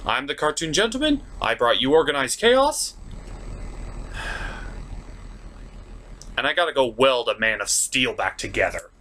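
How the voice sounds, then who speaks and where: A male review host with a medium-pitched voice and a very animated, swooping delivery. He narrates with theatrical sarcasm over noticeable background noise. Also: unhurried, pauses often. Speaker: host of a puppet cartoon review show. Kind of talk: scripted comic review narration.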